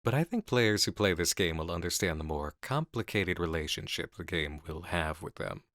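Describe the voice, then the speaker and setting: A man playing an intense, seductive horror game designer in an intimate ASMR roleplay, speaking in deliberate, drawn-out phrases on a close mic. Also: low voice, animated, fairly bright tone, no echo. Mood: musing, intrigued. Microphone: close studio mic.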